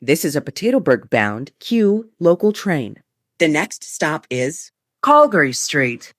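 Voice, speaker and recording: A woman reading scripted automated train announcements in measured, formulaic phrases. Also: low voice, very animated, no echo, clean studio sound. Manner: formulaic, measured delivery of short set phrases.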